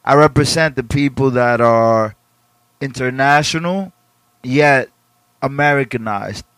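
A man's voice: medium pitch, measured pace, fairly bright tone, dry recording. A man from the Dominican Republic, a guest rapper in a casual podcast interview, talking reflectively and searching for words with restarts.

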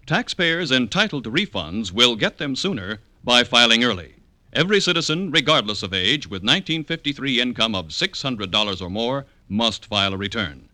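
A male announcer in the classic 1950s radio manner. His mid-pitched voice is extremely bright and forceful, with lively emphatic swings and a dry, close sound. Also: dry recording. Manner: formal, crisply emphatic.

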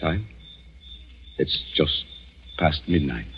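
An actor playing a secretive, controlling husband in a 1940s radio thriller, terse, guarded and coldly calm. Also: male voice, a bass voice, slow, breathy edge, full character voice. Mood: cold, suspicious. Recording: muffled, hissy vintage radio recording with clicks.

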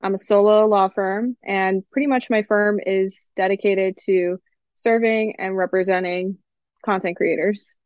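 A woman from Miami, an attorney guest on a podcast, speaking in a relaxed way with many fillers. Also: medium pitch, measured pace, dry recording. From Miami, United States. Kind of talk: casual interview chat. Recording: dull, dry lo-fi recording.

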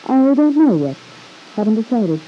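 A woman's voice: on the high side, sing-song, normal speed, faint music behind. An actress playing a flippant, defensive young woman with an arch, ironic manner in an old-time radio soap opera. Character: flippant, defensive young wife and mother, a niece. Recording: muffled hissy old radio recording.

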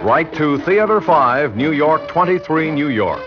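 A male announcer from old-time radio, formal and polished, with a slightly high, lively voice. Music plays behind him on a dull vintage recording. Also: unhurried. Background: orchestral music.

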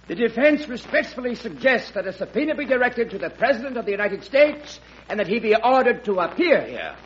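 An actor playing a formal, insistent defense attorney in a classic radio drama, speaking in a declamatory way. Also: male voice, very high voice, sing-song, a little fast, dull audio. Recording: noisy, band-limited old radio recording.

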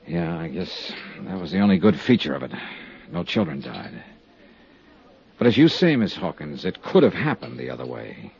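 An American actor playing a tough, steady insurance investigator in a 1950s radio drama, with clipped, hard-boiled delivery. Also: male voice, a bass voice, normal speed, creaky, breathy edge, heavily acted. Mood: calm, probing. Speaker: lead actor and narrator. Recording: dull vintage 1950s radio recording.

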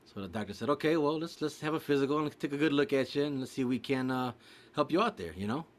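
A man's voice: medium pitch, fast talker. A man telling a long joke in casual chat, acting out a stuttering patient and his doctor. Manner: animated storyteller mimicking a stutter.

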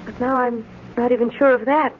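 A woman's voice: on the high side, fairly even intonation, a little fast, lightly acted. Actress as an anxious woman in old radio drama. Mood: apologetic, firm. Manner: earnest, anxious, theatrical. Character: nervous, reluctant woman fending off a dangerous suitor. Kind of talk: 1940s radio drama acting. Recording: muffled old radio recording, faint noise.

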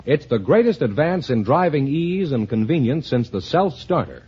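A man's voice: fairly high pitch, big pitch swings, moderate pace, darkish tone, slightly rough. An American man reading a 1950s radio advertisement with polished, emphatic salesmanship. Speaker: commercial announcer. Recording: muffled vintage radio recording.

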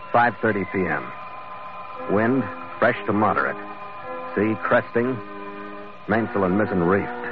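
An actor playing a tough, wry sailing ship skipper in a 1940s radio adventure, both narrating and speaking in character. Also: male voice, on the low side. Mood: solemn. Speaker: ship's captain and narrator. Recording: muffled, very noisy old radio recording.

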